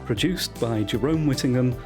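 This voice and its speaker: Male announcer, medium pitch, scripted credits narration, music clearly behind the voice. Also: normal speed.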